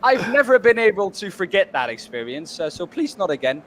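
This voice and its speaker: Man, very high voice, co-commentator, measured and hesitant, faint background noise. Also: sing-song. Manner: measured, analytical, many fillers. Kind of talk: live race commentary.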